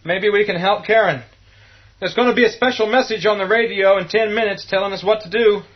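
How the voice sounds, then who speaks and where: A man's voice: high voice, steady tone, a little fast, lightly acted. An actor playing an earnest, mild teenage boy in a radio horror drama, with a plain, hesitant manner. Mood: urgent. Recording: dull lo-fi audio with music behind.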